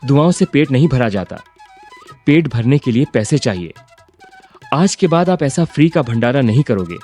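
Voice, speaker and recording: A man plays an ambitious young graduate in a cartoon story, impatient and insistent. His pitch swings widely in a mellow, mid-range voice, with music behind. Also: animated, moderate pace, close to an everyday voice. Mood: scolding. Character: ambitious, impatient young business graduate, son of eatery owner. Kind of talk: Hindi cartoon story voice acting.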